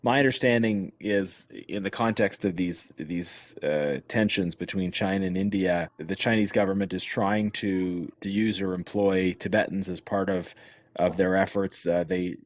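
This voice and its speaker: A man with a very deep voice hosts an interview podcast. He affirms his guests and asks follow-up questions, and his voice sounds dry and close. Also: fairly even intonation, normal speed, dry recording. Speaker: podcast host. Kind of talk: hosting an interview.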